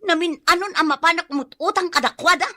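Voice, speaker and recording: An exasperated Ilocano wife in a radio drama. Her voice is high and piercing, made for nagging a husband who drinks, with an Ilocano accent. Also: fast, pushed and bright. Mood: angry, scolding.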